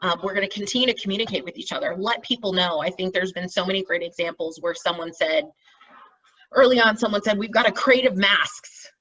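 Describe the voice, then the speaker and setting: A woman's voice: mid-range voice, brisk, fairly bright tone. A middle-aged woman facilitating a group call, explaining things informally and encouragingly and often checking in with listeners. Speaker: meeting facilitator, team lead. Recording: dull, distant video-call audio.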